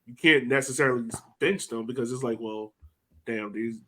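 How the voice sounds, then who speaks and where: A man's voice: mid-range voice, normal speed, a crowd in the background. A man co-hosting a casual sports podcast, talking loosely with many fillers. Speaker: podcast co-host.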